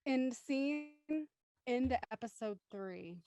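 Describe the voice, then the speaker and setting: A woman's voice: sits high, expressive, takes it slowly, mellow, a little hiss or hum. A woman hosting a conversational discussion, thinking aloud as she works her way into questions. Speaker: host moderating a post-show discussion.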